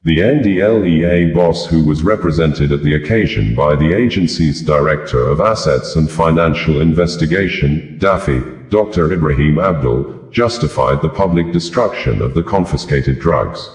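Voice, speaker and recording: A man reading news reports aloud in a measured, formal way. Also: extremely low pitch, animated, reverberant. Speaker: news reader.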